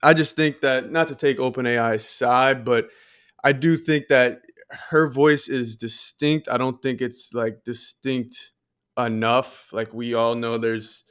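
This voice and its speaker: A man co-hosting a podcast in casual discussion, thoughtful and measured as he reasons things through. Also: mid-range voice, fairly even intonation, a bit bright, clean tone. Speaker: podcast co-host.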